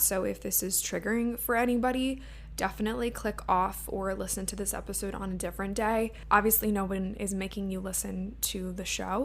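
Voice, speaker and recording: A woman in her twenties hosting her own podcast, talking in a casual, open way with a slightly raspy voice and lots of "like" fillers. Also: mid-range voice. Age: 20s. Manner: chatty and open, many "like" fillers. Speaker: podcast host. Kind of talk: casual solo podcast talk. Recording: faint hum and lawn mower behind.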